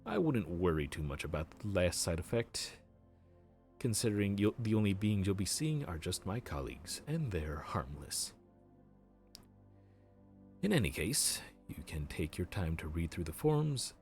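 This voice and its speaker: A man's voice: low-pitched, very animated, unhurried, darkish tone, faint music behind, heavily acted. A man playing a courteous bureaucratic grim reaper. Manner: polite, explanatory, bureaucratic. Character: helpful, chatty male grim reaper clerk. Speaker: underworld front-desk worker. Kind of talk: audio roleplay.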